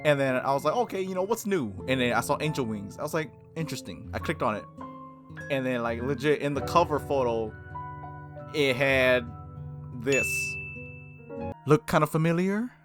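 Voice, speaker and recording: A gaming host talks through a visual novel in an informal, playful way. The pitch sits in an unremarkable middle and swings widely from phrase to phrase. Game music with guitar plays clearly behind the voice. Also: animated, normal speed, pauses often. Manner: informal, giggly, frequent "like", playful asides. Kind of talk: casual gameplay commentary with read-aloud visual novel text.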